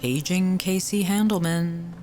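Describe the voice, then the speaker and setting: A woman playing a low-voiced teasing bandmate. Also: measured pace, a little mellow, faint music behind, lightly acted. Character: teasing young bandmate, caring.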